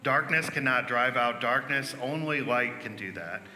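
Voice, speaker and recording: A man with an intensely bright, mid-pitched voice reads quotations aloud. He is in a reverberant hall with music behind. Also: lively intonation, normal speed. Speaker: church member reading. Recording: echoey hall, music underneath.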